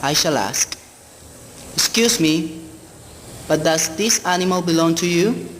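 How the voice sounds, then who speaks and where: An actor in a radio play voicing an uneasy, haunted man, musing in a theatrical, deliberate way. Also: fairly high pitch, animated, a bit bright. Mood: curious, coaxing. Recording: long hall reverb, background noise.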